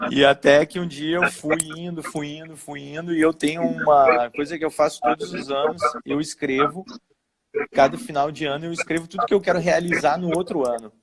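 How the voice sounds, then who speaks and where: A man's voice: on the high side, normal speed, crowd noise, rough recording. A man who works as a personal trainer, telling his story casually and acting out the dialogue. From Brazil. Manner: animated storyteller, acts out dialogue.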